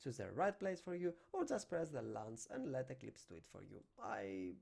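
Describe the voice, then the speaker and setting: A man's voice: medium pitch, sing-song, normal speed, creaky, darkish tone, breathy edge. A young man from Greece with a Greek accent, teaching a programming tutorial at a desk mic and walking through on-screen steps in non-native English. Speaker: programming instructor. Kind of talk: screen-recorded coding tutorial. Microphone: desk microphone.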